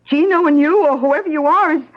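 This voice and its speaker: A high-pitched American woman acting as a crook's exasperated, frightened wife in a vintage radio play. She is plaintive and halting, heard through a dull AM broadcast recording. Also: natural voice. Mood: upset, pleading. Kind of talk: 1970s radio drama acting.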